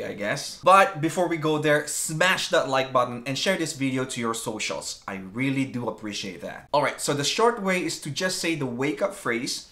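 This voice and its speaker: A man's voice: mid-range voice, a bit bright. Upbeat, chatty man presenting a how-to video. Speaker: host of a smart home tech channel.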